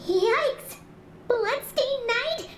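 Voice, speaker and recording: Very squeaky, childlike fairy companion. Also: female voice, very slow, noisy background, heavily acted. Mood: chirpy. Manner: squeaky, inquisitive, excitable. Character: tiny childlike fairy companion, curious and chirpy. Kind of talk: voiced video game dialogue, cartoonish. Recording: echo, loud game music behind.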